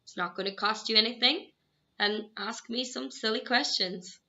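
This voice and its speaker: A young woman with an Irish accent speaks to her students in a friendly, measured, encouraging way. Her voice is bright and forward. The recording sounds a little dull and lo-fi. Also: a little high. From Ireland. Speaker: English teacher. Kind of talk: self-introduction video to students.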